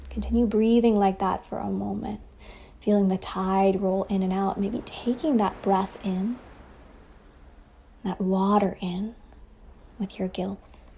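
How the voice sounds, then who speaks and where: A woman leading a guided meditation as a teacher, speaking softly and breathily in a soothing way with long pauses, over ocean waves. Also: medium pitch, steady tone, creaky. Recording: faint ambient wave noise behind voice. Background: ocean waves sound effect.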